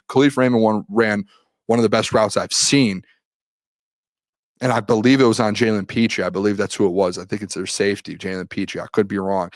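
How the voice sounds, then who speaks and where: Young guy talking football, kinda hoarse from losing his voice, lowish voice, dry sound. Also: dry recording. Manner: rambling, lots of restarts, reads viewer questions aloud. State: losing his voice, clears throat. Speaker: sports show host. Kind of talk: solo live-stream recap, reading chat comments.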